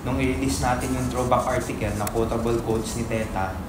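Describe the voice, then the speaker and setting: A man's voice: mid-range voice, steady tone, brisk, lightly acted. A man with a Filipino accent acting an earnest, moralizing writer troubled by his conscience, in a dramatic reading. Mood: insistent. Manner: serious, moralizing, mixing English into Tagalog. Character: earnest, conscience-stricken male writer for a fiction website. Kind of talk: dramatic reading of a stage script. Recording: echoey room, loud background noise.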